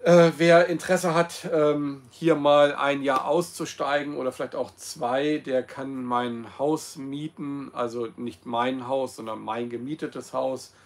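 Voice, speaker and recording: A man's voice: medium pitch, fairly even intonation, unhurried, hardly any pauses. A man in his fifties talking casually to viewers in a rambling way, full of ums and self-corrections, recorded on a laptop camera in a small room. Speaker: host of his own channel. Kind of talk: casual livestream monologue. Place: small cosy room with red walls.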